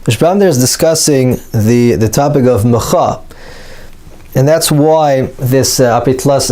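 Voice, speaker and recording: A male Talmud teacher with a medium-pitched voice delivers an explanatory lecture. He works through source texts step by step in the manner of a study class. His English is sprinkled with Hebrew and Aramaic terms, and he builds his points through rhetorical questions. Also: dark tone.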